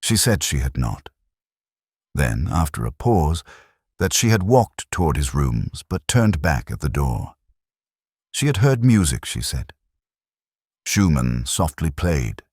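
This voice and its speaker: A man narrating a first-person detective story in a measured, solemn, literary manner, with a gravelly, husky voice. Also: extremely low pitch, very animated, a little mellow, dry recording, clean studio sound.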